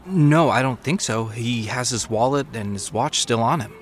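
A man's voice: mid-range voice, normal speed, husky, natural voice. A man playing a young, eager junior police detective in an audio drama. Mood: helpful. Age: young adult.

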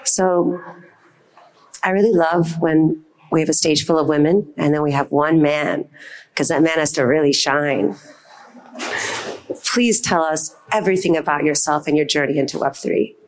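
A woman's voice: deep voice, fairly even intonation, normal speed, poor audio. Effusive woman moderating a stage panel. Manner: effusive, enthusiastic. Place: event stage.

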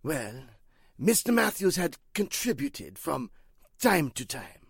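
A man performs a pompous male cult leader from a vintage radio mystery. His voice is gravelly and swoops widely in pitch. He is formal, halting and slippery, in a dry recording. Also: big pitch swings, no echo, exaggerated voice. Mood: evasive, offended. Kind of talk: 1940s radio drama acting.

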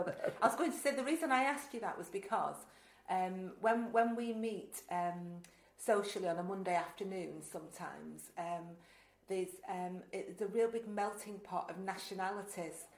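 A woman's voice: mid-range voice, normal speed. Woman interviewer in an echoey room. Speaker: interview host. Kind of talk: casual conversational interview. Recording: echoey, roomy sound.

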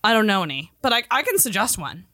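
A woman co-hosting a podcast in casual comedic chat, with an animated, exclamatory and playful way of talking. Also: mid-range voice, quick pace, dry recording, clean studio sound. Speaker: podcast co-host.

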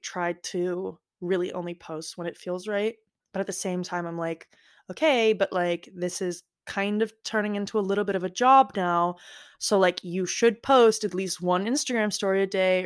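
A woman's voice: medium pitch, clear, resonant tone. A young woman hosting a podcast, chatting casually and rambling with lots of "like" fillers. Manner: rambling, heavy use of "like". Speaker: podcast host. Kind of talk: casual personal chat.

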